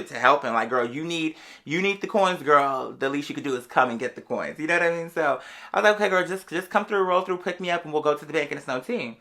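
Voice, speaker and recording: Bright-voiced, chatty storytime vlogger. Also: medium pitch. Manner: rambling, digressive, sassy slang, calls everyone "girl". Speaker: vlogger telling a story to followers. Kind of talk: casual storytime chat.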